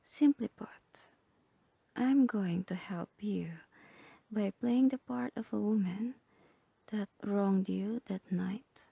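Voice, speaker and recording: A woman voicing a calm, composed therapist in a soft, breathy, half-whispered ASMR roleplay, measured and clinical. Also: medium pitch, no echo, exaggerated voice. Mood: soothing, professional. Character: calm, composed female therapist guiding a patient through roleplay.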